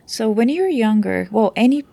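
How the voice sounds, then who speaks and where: A woman's voice: on the high side, animated, moderate pace, gravelly, mellow, no room sound. A woman whose first language is Portuguese, a teacher explaining language learning in a conversation, often checking in with "right?". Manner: explanatory, frequent "right?" tags. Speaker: teacher, guest. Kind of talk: conversational interview.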